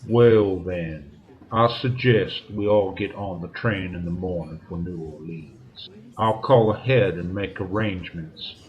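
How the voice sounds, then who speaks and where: Man as a grave, low-voiced police inspector. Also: a little slow, dull audio. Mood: polite, decisive. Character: grave, courteous male police inspector in 1930. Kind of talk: old-time radio drama acting.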